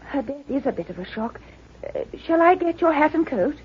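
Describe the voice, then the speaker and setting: An actress playing an eager, polite young secretary in an old-time radio mystery, flustered and apologetic in manner. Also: female voice, sits high, very animated, a little fast. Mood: anxious, urgent. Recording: dull vintage radio recording.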